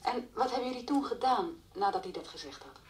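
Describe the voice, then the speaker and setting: A woman's voice: on the high side, expressive, fairly quick, natural voice. An actress playing a composed policewoman in her twenties, patiently questioning in a radio drama. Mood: calm, probing.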